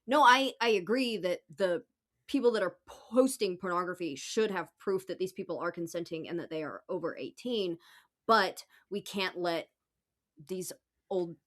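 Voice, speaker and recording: A woman's voice: lowish voice, moderate pace, fairly bright tone. A woman on a podcast panel discussing politics in an informal, opinionated way.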